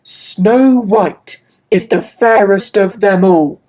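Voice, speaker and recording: A woman voicing a magic mirror in a storybook, speaking lower and gravely. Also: takes it slowly, dark tone, low-quality recording. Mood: solemn.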